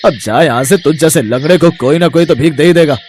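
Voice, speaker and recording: Casting: scornful rich boss played by a man, harsh storybook villain, slightly high male voice. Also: a little fast, darkish tone, a little hiss or hum, natural voice. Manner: harsh, dismissive.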